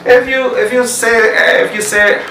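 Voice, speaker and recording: A man's voice: very high-pitched, fairly even intonation, normal speed, creaky, pushed and bright, roomy sound, recorded from a distance, faint music behind. A man with a Pakistani English accent teaching a grammar lesson, repeating points and checking understanding with questions. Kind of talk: classroom grammar lecture.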